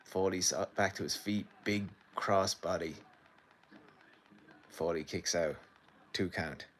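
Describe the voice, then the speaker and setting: Low-voiced Irish man chatting live. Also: slow, a bit bright, slight background noise. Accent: Irish midlands. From Ireland. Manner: rambling, chatty, reads out viewer comments. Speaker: livestream host. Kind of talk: casual live commentary and chat. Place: home sitting room.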